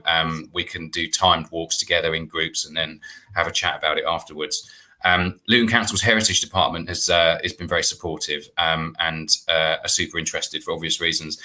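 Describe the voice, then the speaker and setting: A man's voice: low voice, bright, forceful tone. A man in his forties speaking informally as a guest on a video call, explaining a project. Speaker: guest, artistic director and playwright. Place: indoor room at home, on a video call.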